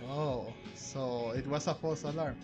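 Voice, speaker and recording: A man doing casual let's-play commentary, thinking aloud in a halting way. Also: medium pitch. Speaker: gaming streamer. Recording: game music behind the voice.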